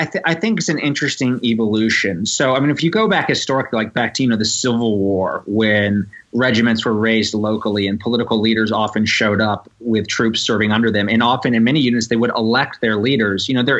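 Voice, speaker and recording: A man answering interview questions as a guest, thoughtful and measured, with many "you know" fillers. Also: mid-range voice, a bit bright, dull audio. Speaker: podcast guest, novelist and former Marine.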